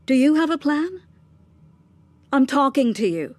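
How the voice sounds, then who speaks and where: A ruthless middle-aged mother in a radio drama. Her voice sits somewhat high and is recorded very dry. She drives at her listener with clipped, scornful questions, scheming to protect her son at any cost. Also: big pitch swings, unhurried, no room sound. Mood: contemptuous.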